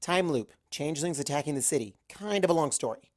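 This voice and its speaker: A man voicing an urgent young female unicorn pony, brisk and insistent, in a storybook reading. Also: on the high side, expressive, a little mellow, dry recording, studio quality, pitched above the performer's own voice, lightly acted. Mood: brisk, matter-of-fact.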